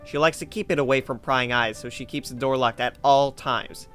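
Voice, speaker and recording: A man's voice: medium pitch, steady tone, moderate pace, fairly bright tone. A man reading scripted second-person story narration as the narrator of a fan drama. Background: music.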